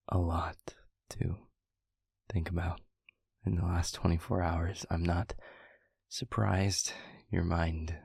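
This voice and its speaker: A man playing a tender, devoted demon lover in an intimate audio roleplay, speaking gently and hesitantly in a husky near-whisper. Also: low voice, dry recording, clean studio sound, exaggerated voice. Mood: fond, reflective. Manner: gentle, hesitant, slow and deliberate.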